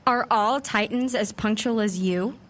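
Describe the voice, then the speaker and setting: A woman's voice: on the high side, unhurried, far from the mic, a little hiss or hum, natural voice. A woman playing a sassy, impatient, bossy sci-fi scientist in a fan audio drama, with a pedantic way of talking. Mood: snippy, annoyed. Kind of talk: acted dialogue in fan fiction audio drama.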